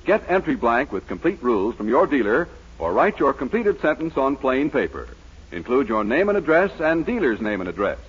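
A man's voice: medium pitch, big pitch swings, normal speed, slightly rough. A man delivering a scripted advertisement as a 1940s radio announcer. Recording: muffled 1940s radio recording.

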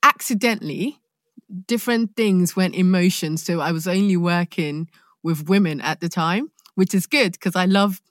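Low-voiced London woman chatting casually. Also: moderate pace, dry recording, studio quality. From London, England, born in Nigeria. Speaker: podcast guest, actor. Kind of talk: casual chat.

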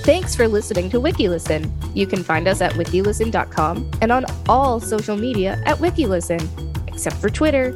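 A woman's voice: medium pitch, expressive, brisk, music in the background. A woman co-hosting a podcast, reading an article aloud steadily, now and then tripping over names. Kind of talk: casual read-aloud.

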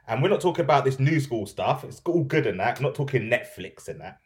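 A man's voice: medium pitch, sing-song, quick pace. A man co-hosting a podcast in casual, slangy banter. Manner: slangy, chatty. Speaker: podcast co-host.

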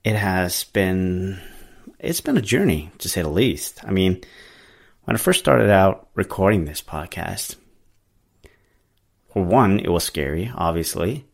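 Deep-voiced man hosting a podcast. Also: a little slow, gravelly, pauses often. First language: not English. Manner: relaxed, rambling with many fillers and restarts. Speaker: podcast host. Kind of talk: casual solo monologue.

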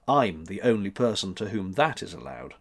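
A man's voice: fairly low pitch, expressive, normal speed, creaky, pitched below the performer's own voice. Proud, musing old man, read by a man. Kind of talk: storybook character reading.

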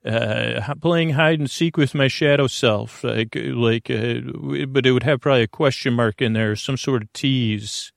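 Male podcast host, creaky voice, mid pitch, dry recording, meandering bedtime monologue, halting and tangential delivery. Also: no echo.

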